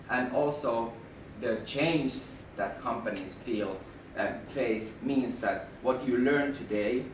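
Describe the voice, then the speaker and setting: A man with a Finnish accent, hoarse from a flu, giving a presentation to an audience and restarting his words as he goes. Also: on the low side. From Finland. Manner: halting, restarts words, then rises in emphasis. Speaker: management consultant presenter. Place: room with an audience. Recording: roomy, distant mic with background noise.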